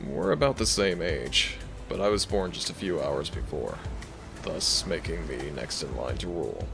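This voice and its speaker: Deep, gravelly young dragon prince, acted by a man. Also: lively intonation, normal speed. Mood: fond, reflective. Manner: tender, affectionate, soft-spoken reassurance. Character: gentle young dragon prince, devoted romantic boyfriend. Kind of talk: romantic ASMR audio roleplay, speaking to the listener. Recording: music behind the voice. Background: background music.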